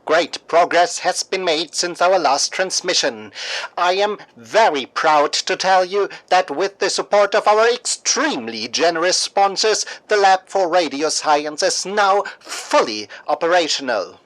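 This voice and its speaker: A storyteller of middling pitch hosts a radio drama. The delivery is theatrical and deliberate, with grand emphasis on key words. The sound is dry and close, with no room around it. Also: animated, fairly bright tone, no room sound, low-quality recording. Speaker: host and narrator of a fictional radio news show. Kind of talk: scripted dramatic storytelling, mock radio newscast.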